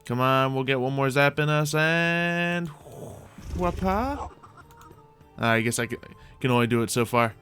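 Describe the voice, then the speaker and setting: A man's voice: mid-range voice, measured pace, fairly bright tone, soft background music. A man hosting a gaming video, giving playful, chatty roleplay commentary that rambles along and often addresses the game's creatures directly.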